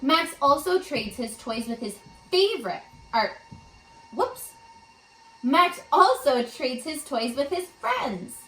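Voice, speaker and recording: Slow, high-voiced woman reading to children. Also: echoey room, faint music behind. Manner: expressive, asks the young listeners questions. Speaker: read-aloud teacher. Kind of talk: children's story read-aloud.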